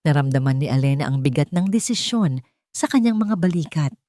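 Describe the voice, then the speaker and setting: Mellow-voiced woman narrating a drama. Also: low voice, lively intonation, dry recording, studio quality. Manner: measured, dramatic storytelling.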